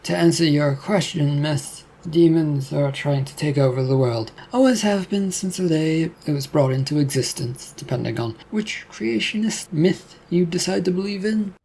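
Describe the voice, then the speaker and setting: Firm male demon hunter, read by the narrator, matter-of-fact, gravelly voice. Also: a little high, moderate pace, hardly any pauses, a little mellow, a little hiss or hum, rough recording.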